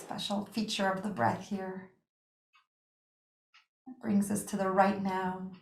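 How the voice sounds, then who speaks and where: A woman leading a guided meditation as a yoga nidra teacher, speaking in a gentle, soothing, unhurried way with pauses. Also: medium pitch, level tone, vocal fry. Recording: echoey room.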